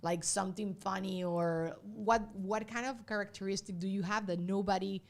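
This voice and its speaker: A woman's voice: mid-range voice, steady tone, normal speed, creaky. A woman with a Spanish accent hosting a casual livestream interview, chatty and giggly, rambling with lots of fillers. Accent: Venezuelan Spanish.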